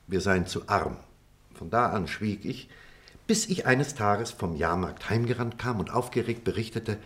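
An actor playing a reflective middle-aged former pupil, telling memories in a measured, expressive radio-play style. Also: male voice, on the low side. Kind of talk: theatrical radio play dialogue and storytelling.

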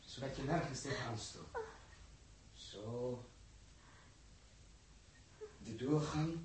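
An actor playing an anguished, commanding space crew captain in a 1960s radio drama, speaking with urgent emotion. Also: male voice, mid-range voice, darkish tone, close to an everyday voice. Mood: tender, hushed. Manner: urgent, emotional, halting repetitions. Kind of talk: 1960s Dutch radio play acting. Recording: noisy old 1960s radio recording.

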